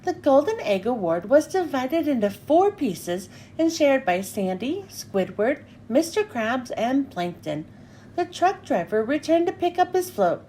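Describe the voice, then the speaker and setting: A woman reading a picture book aloud to children with an expressive, animated delivery. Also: sits high, a little slow, slight background noise.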